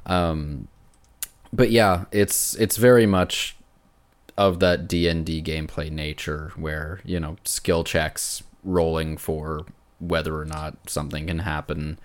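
Male co-host, notably deep voice, informal gaming chat, stop-and-start phrasing. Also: steady tone, takes it slowly. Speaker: podcast host. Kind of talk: casual chat about video games.

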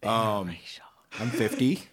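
A man's voice: fairly low pitch, slow pace, a bit bright. A man in his thirties, a podcast co-host with dry, teasing humor in casual chat. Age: 30s.

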